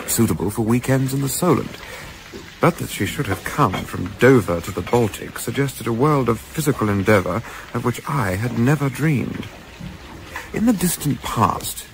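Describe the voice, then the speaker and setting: An actor playing a polite, inexperienced British gentleman yachtsman in a radio play, with genteel, old-fashioned literary phrasing. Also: mid-range voice, noisy background, natural voice. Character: polite, eager, inexperienced gentleman yachtsman narrating his memoir. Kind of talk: radio play narration and dialogue.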